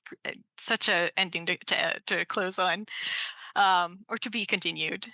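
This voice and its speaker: A woman's voice: fairly low pitch, fairly even intonation, unhurried, gravelly, sharp tone, no room sound. A woman moderating a casual Q&A over a video call, with informal, hesitant phrasing. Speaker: panel moderator. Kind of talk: casual Q&A hosting.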